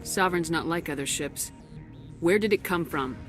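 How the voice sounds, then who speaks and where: Actress as a tough female space commander in a game, lowish voice, straight to the point, faint music. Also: moderate pace, close to an everyday voice. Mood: probing. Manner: direct, clipped, commanding. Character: firm, no-nonsense human military commander heroine. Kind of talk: video game acting.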